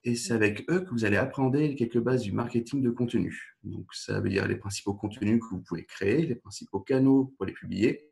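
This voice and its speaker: A man's voice: lowish voice, a little fast, vocal fry, few pauses, darkish tone. A man hosting a live webinar, making orderly welcome announcements. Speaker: webinar host.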